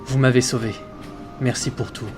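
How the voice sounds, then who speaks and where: A man's voice: mid-range voice, flat delivery, moderate pace, over music, natural voice. A man playing a dazed, earnest young fisherman rescued from ghosts in a French audio drama. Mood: urgent, grateful.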